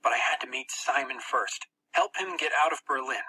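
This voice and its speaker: A narrator reading a first-person story for an audiobook in a tense, expressive, dramatic way. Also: mid-range voice, normal speed, husky, rough recording.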